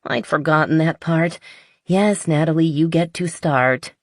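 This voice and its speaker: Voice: mid-range voice, measured pace, dry recording, clean studio sound, voice pushed up, natural voice. A narrator voicing a smug, competitive but good-humored woman who teases in a romance audiobook. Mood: mock grumbling. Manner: smug teasing.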